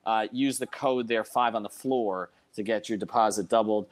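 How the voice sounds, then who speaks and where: A man hosting a casual sports talk show, speaking emphatically in halting, repeated phrases. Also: on the low side, fairly even intonation, dry recording. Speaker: sports podcast host.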